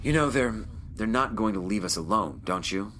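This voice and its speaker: A stern, protective male captain played by an actor in a sci-fi audio drama. He sounds measured, weary and commanding, low in pitch, with a faint bed of noise. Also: animated, a bit bright. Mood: grave. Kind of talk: scripted audio drama acting.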